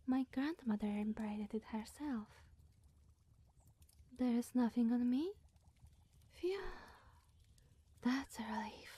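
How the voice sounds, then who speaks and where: A woman voicing a sweet, bashful, scatterbrained young witch in a soft, partly whispered ASMR roleplay, coy and rambling with musing hums. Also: a little high, exaggerated voice. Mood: flustered. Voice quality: soft, breathy.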